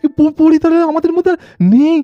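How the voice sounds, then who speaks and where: Distraught high-voiced village man acted by a man. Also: level tone, fast talker, no room sound, muffled recording. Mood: alarmed, shocked. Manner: stammering, pleading. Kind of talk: melodramatic doll-story cartoon acting.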